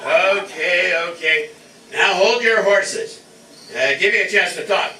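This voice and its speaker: A man's voice: sits high, takes it slowly, pushed and bright, far from the mic, heavily acted. A man playing a weary, exasperated wagon master in a stage radio parody. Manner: drawling, exasperated. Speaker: amateur stage actor. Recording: roomy stage from afar, audience noise.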